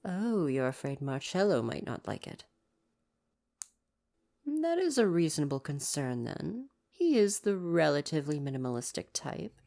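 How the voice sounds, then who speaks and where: A man's voice: fairly high pitch, very animated, normal speed, creaky, warm tone. A man playing a devoted, proper royal butler in an ASMR roleplay, speaking to the listener in a formal, deferential, fussily attentive way. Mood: attentive, reassuring.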